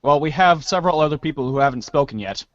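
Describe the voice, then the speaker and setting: A man's voice: fairly high pitch, lively intonation, fast, no room sound, lo-fi sound. A man taking part in a group reading, speaking in a matter-of-fact way with slightly hesitant starts.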